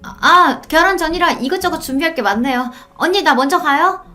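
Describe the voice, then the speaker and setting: A woman's voice: high voice, a little fast, bright, forceful tone, soft background music, pitched above the performer's own voice. A woman voicing a sly, wheedling young sister-in-law who coaxes with false sweetness in a dramatized story. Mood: hurried.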